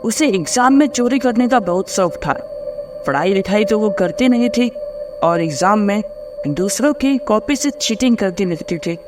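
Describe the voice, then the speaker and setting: A man's voice: sits high, animated, moderate pace, over music. A man narrating a scripted cartoon story as a storyteller.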